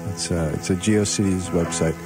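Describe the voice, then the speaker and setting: A man's voice: deep voice, steady tone, normal speed. Joking male radio host, music behind him. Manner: joking, with puns and rambling asides. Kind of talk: casual music trivia banter. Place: radio studio.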